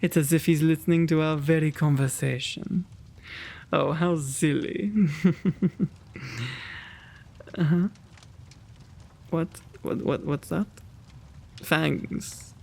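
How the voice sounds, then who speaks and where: A man playing a kind, quaint gentleman vampire in an ASMR roleplay, with genteel, old-fashioned, fussy diction and a creaky voice. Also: on the high side, fairly even intonation, takes it slowly, pauses often. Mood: flustered.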